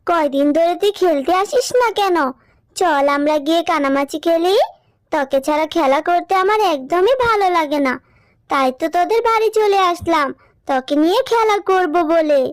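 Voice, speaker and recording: A woman's voice: extremely high pitch, moderate pace, dry recording, clean studio sound. A woman voicing a whiny, insistent little girl who pleads and sulks in a fairy-tale cartoon. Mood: playful.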